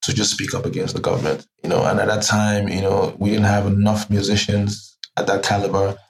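A man's voice: fairly low pitch, little pitch movement, a little fast, gravelly, slight background noise. A man from Nigeria, a musician, answering interview questions thoughtfully with many "you know" fillers at a live music event. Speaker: musician guest.

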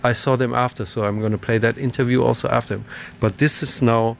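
A man's voice: mid-range voice, fairly even intonation, fairly quick, gravelly, a little hiss or hum. A man presenting a radio show in a casual, unscripted way, linking interviews.

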